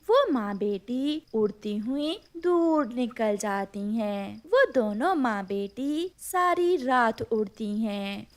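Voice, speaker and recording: Woman narrator for a kids cartoon story, highish voice, really slow scripted reading.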